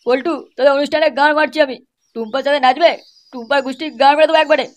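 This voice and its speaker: A woman's voice: sits high, fairly even intonation, racing, background noise, close to an everyday voice. A woman voicing brash young village troublemakers in an amateur cartoon comedy, scolding crudely and swearing constantly. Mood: bitter, vengeful.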